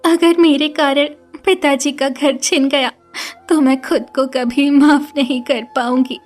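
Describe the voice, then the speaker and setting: A woman voicing a devoted, kind, distressed village daughter in an animated story, earnest and melodramatic. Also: high-pitched, unhurried. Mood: tearful, guilty. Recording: music behind the voice.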